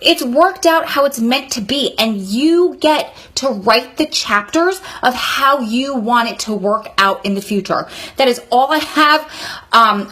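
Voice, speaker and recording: A woman's voice: on the high side, fairly bright tone. A woman of about forty giving candid advice in a vlog, speaking in an earnest, encouraging, conversational way. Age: 39, nearly 40. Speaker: vlogger advising prison wives.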